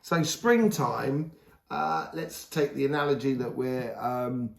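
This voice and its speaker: A hoarse man giving a casual, rambling tarot reading, full of fillers and restarts. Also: medium pitch, slow pace, roomy sound. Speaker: tarot reader.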